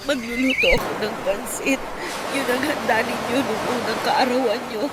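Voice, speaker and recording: High-voiced, emotional Filipino mother, acted by a woman. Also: steady tone, heavy background noise, close to an everyday voice. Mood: tender, nostalgic. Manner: tender, heartfelt, drawn-out. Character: loving, emotional elderly mother reunited with her lost son. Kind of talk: Filipino radio drama acting. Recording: loud music under the voice.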